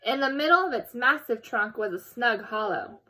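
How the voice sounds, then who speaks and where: Bright, high-voiced woman reading a storybook. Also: moderate pace, poor audio. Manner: animated, addressing young listeners. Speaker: storybook reader. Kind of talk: expressive children's read-aloud narration.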